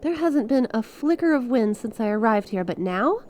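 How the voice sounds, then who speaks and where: A woman playing an earnest, awestruck stranded space pilot in an ASMR audio roleplay, speaking expressively and haltingly. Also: a little high, noisy background, natural voice. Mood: amazed, delighted. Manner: expressive, halting, conversational, with small laughs and breathy exclamations. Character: stranded young female star scout pilot, awestruck and earnest.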